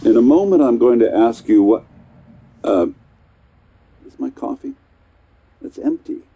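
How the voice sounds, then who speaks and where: An actor playing a chatty, distracted scientist in a video game, husky and encouraging in a patronising way as he supervises tests. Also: medium pitch, big pitch swings, a little slow, dark tone, lo-fi sound, exaggerated voice. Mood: distracted, put out. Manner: patronising, encouraging, hesitant asides. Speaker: test supervisor. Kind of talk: game voice acting.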